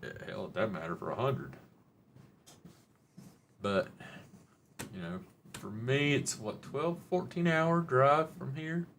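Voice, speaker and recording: A man's voice: mid-range voice, slow, long pauses, fairly bright tone, slight background noise. A middle-aged man, hosting a rambling, joking, mildly profane live-stream chat. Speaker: livestream host.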